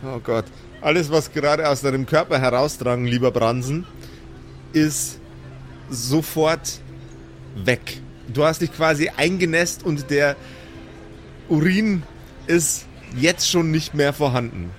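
A man's voice: a little high, takes it slowly, long pauses, soft background music. A young man acting as game master in an improvised audio play, narrating animatedly with frequent restarts. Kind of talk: improvised narration and table chat.

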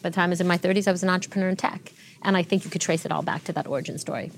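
A woman in her forties, a tech executive being interviewed, telling stories fluently and with lively restarts. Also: fairly low pitch, racing, slight background noise. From Canada. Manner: fluent, animated storytelling with frequent restarts. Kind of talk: interview conversation.

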